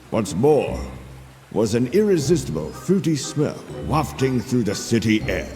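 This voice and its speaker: A man playing a kindly older storyteller, narrating a video game tale in an expressive, gentle way. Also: medium pitch. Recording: long reverb, faint music behind.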